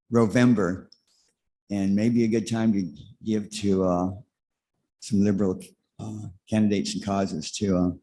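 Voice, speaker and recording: Even, low-voiced man speaking haltingly. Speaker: congregation member. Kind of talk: informal announcement at church. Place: church service, live. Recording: faint background noise, live room.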